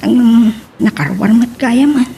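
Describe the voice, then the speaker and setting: A woman's voice: on the high side, unhurried, gravelly, warm tone, a little hiss or hum. An actress playing a blunt, protective young female friend in an Ilocano radio drama, speaking emphatically. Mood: concerned. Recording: music under the dialogue.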